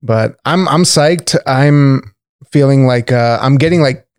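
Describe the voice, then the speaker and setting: A man's voice: mid-range voice, unhurried, clear, resonant tone, no room sound, clean studio sound. A man hosting a podcast interview in casual chat, rambling, joking and swearing a lot. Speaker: podcast host.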